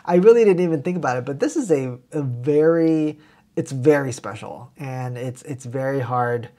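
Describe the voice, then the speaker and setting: A man's voice: mid-range voice, lively intonation, normal speed, dark tone. A man in his thirties from the United States, co-hosting a travel vlog, chatting casually and enthusiastically about food with many fillers and restarts, on a lapel mic indoors. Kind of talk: casual food recommendations to camera. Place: indoor home room with plants.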